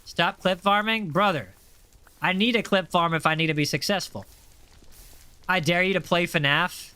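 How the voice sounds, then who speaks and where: Bright-voiced young American streamer. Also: male voice, high voice, smooth. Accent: general American. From USA. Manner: upbeat, chatty, reads chat aloud. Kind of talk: casual gaming livestream chat.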